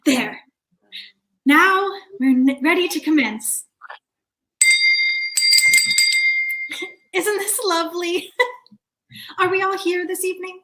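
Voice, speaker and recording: A woman acts a fussy committee chair in a staged comedy. The voice is high and the wording is prim and formal. The delivery is over-polite, with a nervous edge. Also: measured pace, long pauses, background noise. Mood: flustered politeness. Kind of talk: staged reading of a comic play.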